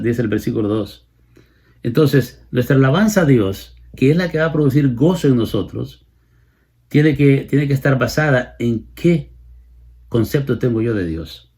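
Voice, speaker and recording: Male preacher, late 50s, Salvadoran accent, medium pitch, slightly rough husky tone, expository sermon delivery with emphatic repetition. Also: darkish tone. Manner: repeats phrases for emphasis.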